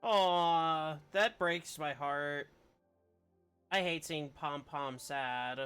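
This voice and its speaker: A man's voice: fairly high pitch, extremely slow, a bit bright, smooth, soft background music. A man giving casual live commentary as he streams a video game.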